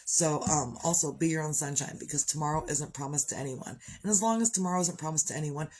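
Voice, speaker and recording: A woman with a congested voice who keeps clearing her throat, talking on a live video in a chatty, upbeat, rambling way about gratitude and her morning. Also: sits low, fast, a little mellow, slight background noise. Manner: chatty, upbeat, wanders off topic. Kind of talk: rambling motivational chat.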